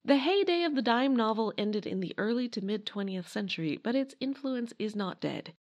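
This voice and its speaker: A woman's voice: a little high, animated, normal speed, darkish tone. A woman narrating a scripted history talk in a conversational way, with wry asides. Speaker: podcast host and narrator.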